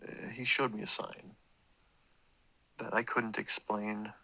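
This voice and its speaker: A man's voice: fairly low pitch, little pitch movement, takes it slowly, creaky, pushed and bright, highs cut off. A man with a sore throat telling a long personal story, meandering and correcting himself, swearing casually. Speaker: vlogger. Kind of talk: rambling personal monologue.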